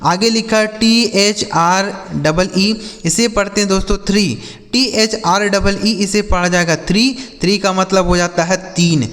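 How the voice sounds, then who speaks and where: A man's voice: sits high, steady tone, a little slow, a bit bright, in a large hall. A man teaching English vocabulary, spelling each word letter by letter and repeating its meaning several times. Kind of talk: slow spelled-out vocabulary lesson.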